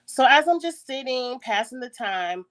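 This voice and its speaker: Congested raspy woman telling a story. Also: high-pitched, lively intonation, moderate pace, a bit bright, poor audio. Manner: chatty, frequent throat clearing. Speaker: YouTube host. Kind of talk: casual story time. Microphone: phone.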